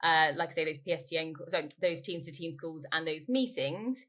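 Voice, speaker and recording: A woman speaks as an expert guest in an interview. Her voice sits a little lower than usual. She explains in an informal, conversational manner, often restating her point. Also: fairly quick, a bit bright. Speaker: interviewee, company growth director.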